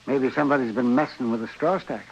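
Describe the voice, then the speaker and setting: An actor playing a shrewd frontier town doctor in an old radio western, dry and folksy. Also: male voice, medium pitch, fast talker, natural voice. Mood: dry, probing. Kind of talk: old-time radio western drama acting. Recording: muffled, noisy old radio recording.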